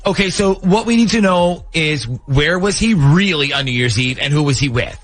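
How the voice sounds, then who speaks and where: A man's voice: a little high, expressive, normal speed, a bit bright. A man hosting a morning radio show, talking through a prank call segment.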